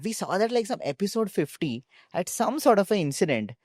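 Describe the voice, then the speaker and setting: A man's voice: high-pitched, big pitch swings, brisk, a little mellow, dry recording, clean studio sound. A man with an Indian accent, a startup founder answering conversationally in an interview.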